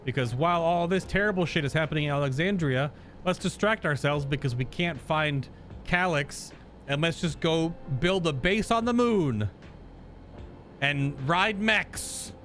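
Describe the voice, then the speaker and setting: A man's voice: fairly high pitch, a little slow, a bit bright, a little hiss or hum. A man giving casual, informal reaction commentary as a game streamer, joking and swearing freely.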